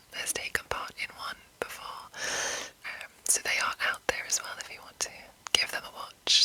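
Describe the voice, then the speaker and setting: Whispering woman ASMR host, crisp and breathy. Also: bright, forceful tone, rough recording. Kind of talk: whispered ASMR, rambly informal explainer.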